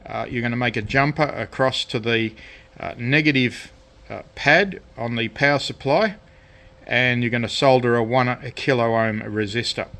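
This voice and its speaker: A man talks through a hobby project in a casual, unscripted way. His delivery is halting and hesitant, with frequent pauses, at a medium pitch. He sounds like an amateur presenter showing how something is done. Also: fairly even intonation, pushed and bright. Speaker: hobbyist presenter of a DIY electronics video. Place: indoors at a cluttered radio workbench.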